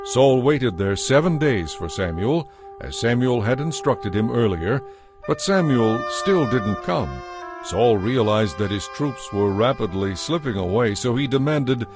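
A man's voice: mid-range voice, animated, a little slow, music in the background. A man narrating a dramatized scripture audiobook in a scripted, storytelling style.

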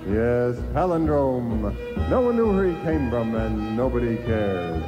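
A man announces a radio serial with emphatic, dramatic phrasing. His pitch moves a lot and he takes his time, with loud music under him. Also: big pitch swings, a little slow, lo-fi sound.

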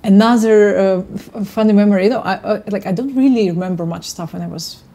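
Mellow-voiced woman, Russian native. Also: mid-range voice. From Kyrgyzstan. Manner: halting, searching for words. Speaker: guest violinist. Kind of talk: casual chat. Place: home kitchen, indoors.

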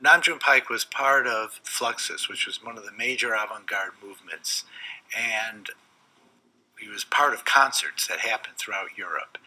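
A man's voice: on the low side, poor audio. Older male art expert explaining. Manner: measured, explanatory, gestures while speaking. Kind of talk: documentary interview. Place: cluttered indoor studio. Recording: loud music under the interview.

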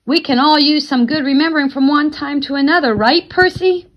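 A woman's voice: sits high, brisk, highs cut off, pitched above the performer's own voice, natural voice. A woman voicing an affectionate, loyal old grandmother reminiscing in a storybook read-aloud. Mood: fond.

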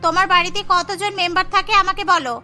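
A woman's voice: very high voice, fairly even intonation, quick pace, pushed and bright, soft background music, heavily acted. A woman voicing a blunt, businesslike housemaid who comes looking for work. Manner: blunt, matter-of-fact.

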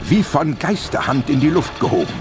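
A man's voice: medium pitch, very animated, moderate pace. Male audio drama narrator over music.

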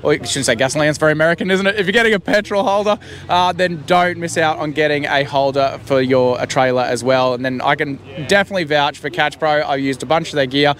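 An Australian man in his thirties hosting a chatty interview outdoors at an expo, agreeing often and talking quickly. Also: a little high, fairly bright tone. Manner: chatty, agreeable, frequent yeahs. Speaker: channel host interviewing exhibitors. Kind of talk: casual chat at a trade expo. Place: outdoor expo field by a marquee. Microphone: handheld mic, wide camera.

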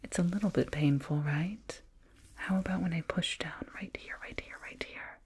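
A woman voicing a soothing, condescending alien dentist in a breathy ASMR whisper, close to the mic, doting and clinical. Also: on the low side. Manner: slow, doting, clinical, gently patronizing. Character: condescending yet soothing alien dentist, fussing over a little human. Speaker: ASMR roleplayer. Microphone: binaural mic, up close.

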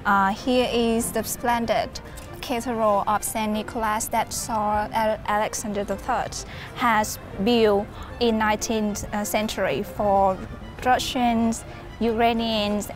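A woman's voice: mid-range voice, little pitch movement, slow pace, over music. A young woman from Vietnam, a master's student, speaking carefully with some hesitation.

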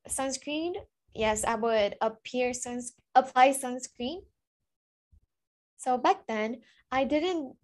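Woman in her thirties, high voice, earnest and chatty, webcam call testimonial. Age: 30s. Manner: earnest, chatty, with frequent fillers and restarts. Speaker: product testimonial speaker. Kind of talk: personal testimonial on a video call. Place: indoors before a virtual backdrop. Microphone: webcam on a video call.

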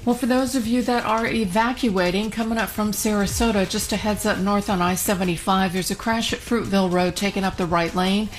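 A woman's voice: medium pitch, steady tone, brisk, hardly any pauses. An American woman giving a radio traffic report as the traffic reporter. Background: music bed.

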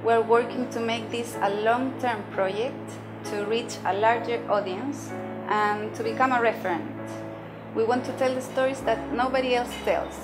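A woman's voice: a little high, normal speed, rough recording. A woman in her thirties, a native Spanish speaker with a Chilean accent, explaining her work in an interview. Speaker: journalist and podcast creator. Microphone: lapel mic. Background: music.

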